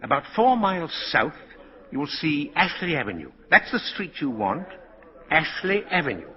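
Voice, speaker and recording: An actor playing a gentle, warm old man believed to be Santa Claus, in 1940s radio style, sometimes halting with feeling. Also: a little high, big pitch swings. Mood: kindly. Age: older. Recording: dull 1940s radio recording, music behind.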